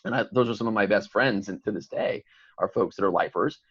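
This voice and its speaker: A lower-voiced man from Chicago who talks at a rapid clip. The sound is dry and dull, like a remote call line. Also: slightly rough, no echo. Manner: fast, rambling, many fillers and restarts. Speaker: podcast guest, entrepreneur. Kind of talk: casual interview conversation.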